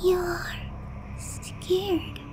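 A woman voicing an obsessive, lovesick young vampire princess in breathy, intimate roleplay ASMR. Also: very high voice, little pitch movement, heavily acted. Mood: solemn, devoted. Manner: breathy, pleading, slow and intimate.

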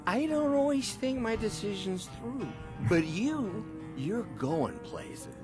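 An actor playing a jovial, sly demon lord of debauchery in a fantasy game, teasing and theatrical like a roguish uncle. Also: male voice, high voice, sing-song, takes it slowly, full character voice. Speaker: video game character. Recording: game audio with music behind.

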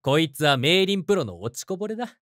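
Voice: mid-range voice, very animated, fast talker, a bit bright. An actor voicing a confident, terse teenage boy strategist in an anime voice drama, with self-assured delivery.

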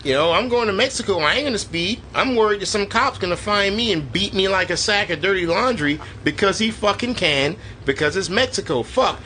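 Guy on a movie podcast, kinda high sharp voice, swears a lot and rants, bit of background noise. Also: animated, a little fast, hardly any pauses. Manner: crude, profane, ranting. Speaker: podcast co-host. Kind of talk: casual movie review chat.

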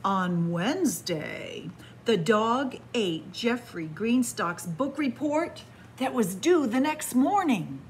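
Warm-up librarian type, middle-aged woman, bright higher voice, playful story reading in a library. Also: expressive, slow pace, a little hiss or hum. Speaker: children's librarian. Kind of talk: picture book read-aloud. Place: library, in front of bookshelves. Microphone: camera at close range.

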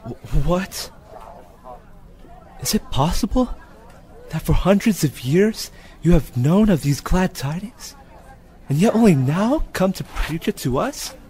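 An actor playing an earnest Chinese seeker of truth in an audio drama, solemn and heartfelt. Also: male voice, high voice, expressive, takes it slowly, pauses often, warm tone. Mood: anguished. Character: earnest Chinese man, seeker turned joyful new believer. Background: music.

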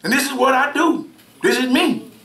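A middle-aged minister preaching a sermon, with call-and-response, repeated words and swings between shouts and soft asides. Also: male voice, extremely high pitch, normal speed. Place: church sanctuary, indoors, at a pulpit. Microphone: pulpit mic from a far camera. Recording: roomy church sound from far off.

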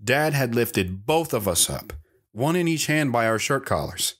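A man's voice: medium pitch, sing-song, measured pace, fairly bright tone. A man playing a wanderer recounting his hard life in first-person audio drama narration. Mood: reflective.

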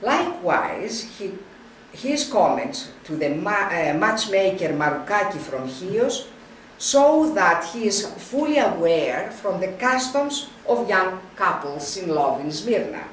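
Older woman reading a lecture slowly, roomy. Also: lowish voice, very animated, a little hiss or hum. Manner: slow, halting reading with frequent restarts. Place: wood-panelled room, indoors. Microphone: camera at a distance. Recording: roomy, distant camera sound.

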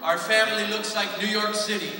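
A soft-spoken man speaking in short, measured phrases for an interpreter, on a handheld mic in a large church sanctuary. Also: sits high, steady tone, heavy background noise. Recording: echoey hall from far off with crowd.

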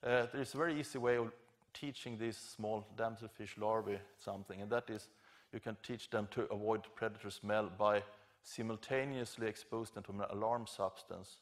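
A middle-aged man giving an academic conference lecture, explaining informally and haltingly with self-corrections. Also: on the low side, fairly even intonation. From Scandinavia. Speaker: professor, keynote lecturer. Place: large lecture theatre stage at a podium.

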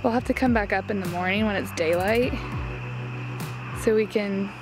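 A woman's voice: mid-range voice, normal speed, rough recording. A young woman chatting casually as she films a travel vlog on a handheld camera. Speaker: travel vlogger. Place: varies: moving car, small cabin, outdoors. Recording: loud music behind the voice.

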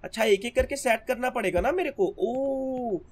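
A hoarse young man with a sore throat, chatting casually as he narrates his gameplay to his viewers. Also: very high voice, normal speed. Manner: chatty, keeps calling viewers brother. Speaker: gaming channel host.

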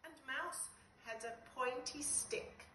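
A middle-aged woman reading a picture book to young children in an expressive, deliberate way with dramatic pauses. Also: high voice. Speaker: nursery school storyteller. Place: small nursery classroom, indoors. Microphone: camera a few metres away. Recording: roomy, distant, noisy phone-style video.

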